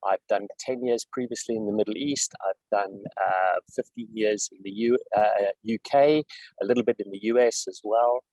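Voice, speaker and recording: Middle-aged South African executive, lowish voice, dry close recording, unhurried guest with lots of fillers. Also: male voice, darkish tone, no room sound, low-quality recording. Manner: measured, with frequent fillers and restarts. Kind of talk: interview answers.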